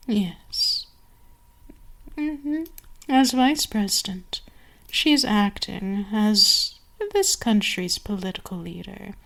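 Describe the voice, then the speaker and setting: A woman voicing a seductive, domineering vampire queen in an ASMR roleplay, speaking deliberately with savoring pauses to an enslaved servant. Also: medium pitch, a bit bright, heavily acted. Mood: commanding, deliberate. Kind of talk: ASMR roleplay, scripted monologue.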